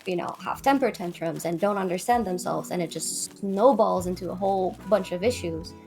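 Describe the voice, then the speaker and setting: A woman's voice: on the low side, normal speed, vocal fry, darkish tone. A young woman from Lebanon speaking as a guest in a conversational discussion, earnest and thoughtful. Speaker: guest, psychology PhD student. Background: background music.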